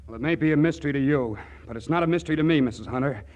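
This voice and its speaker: A man's voice: on the high side, very fast, a little mellow, lightly acted. An actor playing a naive, earnest young small-town man in love, in 1940s radio comedy style. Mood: hurt. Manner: earnest, boyish, gee-whiz. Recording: vintage 1940s radio broadcast.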